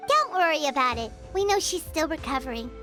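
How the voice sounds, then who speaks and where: A woman voicing a small, cheerful, eager fairy companion with a squeaky childlike voice in a video game. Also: expressive, fairly quick, bright, forceful tone, soft background music. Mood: reassuring. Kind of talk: animated video game dialogue.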